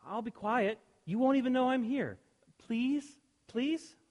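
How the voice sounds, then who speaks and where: A woman's voice: fairly high pitch, lively intonation, unhurried, a little mellow, voice pushed up. Eager little boy voiced by a woman. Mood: earnest. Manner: earnest, pleading, stumbling starts. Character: eager polite seven-year-old boy. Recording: echoey room, long reverb, faint hiss.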